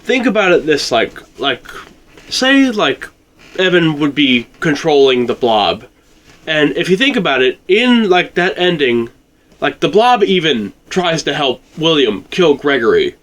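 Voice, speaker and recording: A man's voice: on the high side, very animated, a little slow, fairly bright tone. A man chatting casually as a discussion partner, eager and agreeable. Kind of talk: casual chat.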